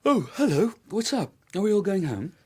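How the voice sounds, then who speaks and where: A man's voice: sits high, very animated, normal speed, darkish tone, slight background noise. An actor playing a brash, loyal young society man in a British radio drama. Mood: brash, indignant.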